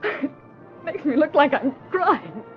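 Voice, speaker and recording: An actress playing an earnest, lovelorn young woman reporter with halting, emotional delivery in 1940s radio drama style. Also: high-pitched, sing-song, faint music behind, full character voice. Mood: halting, tearful resolve. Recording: muffled, noisy vintage radio broadcast.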